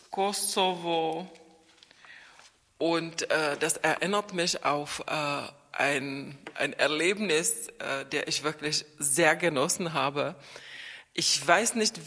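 Slow-talking woman. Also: deep voice, a bit bright, long reverb tail. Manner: thoughtful, hesitant, many fillers. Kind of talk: casual podcast conversation.

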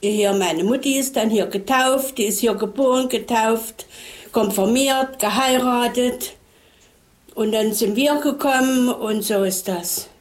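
A woman's voice: on the high side, a little slow. A woman in her seventies from Saxony, reminiscing casually in short, plain phrases. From Saxony, Germany. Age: 70s. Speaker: interviewee, long-time resident.

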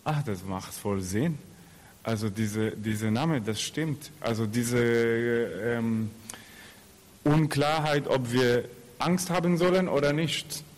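A man's voice: mid-range voice, slow pace. A man from Israel with an Israeli accent, an actor answering interview questions in an informal, anecdotal way. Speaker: actor and theatre maker.

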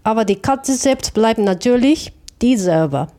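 A woman from Japan with a Japanese accent, giving reflective interview answers in a thoughtful, halting way with self-corrections. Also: on the high side, lively intonation, dark tone. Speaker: interview guest, artist.

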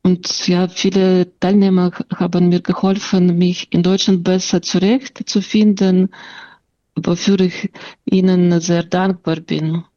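A woman's voice: lowish voice, level tone, measured pace, dark tone, dry recording, dull audio. A woman with a Russian accent who stutters, telling her story and answering interview questions, with sound blocks and many fillers. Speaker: interview guest. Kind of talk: personal account and interview answers.

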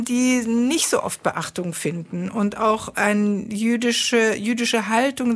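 A woman from Israel speaks as a guest in a studio interview. She explains her points carefully and at length, pausing often with hesitations as she thinks. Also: medium pitch. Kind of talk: conversational interview.

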